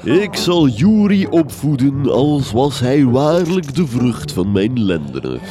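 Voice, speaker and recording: An actor playing a pompous, theatrical mad doctor and demagogue with a Flemish accent in a gothic horror comedy radio play, orating grandly. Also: male voice, mid-range voice, very animated, slow, a crowd in the background. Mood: grand, scheming.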